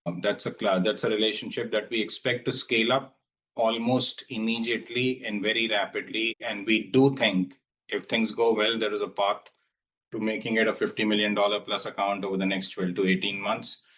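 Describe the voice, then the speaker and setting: A man's voice: lowish voice, fairly even intonation, normal speed, a bit bright. A man speaking as a company executive on an earnings call, formal and assured, with emphatic repetition. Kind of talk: earnings call remarks and answers to analysts. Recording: dull, band-limited conference call audio.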